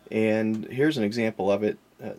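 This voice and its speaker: A man's voice: fairly low pitch, normal speed, darkish tone. A man talking in a casual, rambling, unscripted vlog style, folksy and self-deprecating as he wanders off on tangents. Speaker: vlogger and online reseller of vintage collectibles.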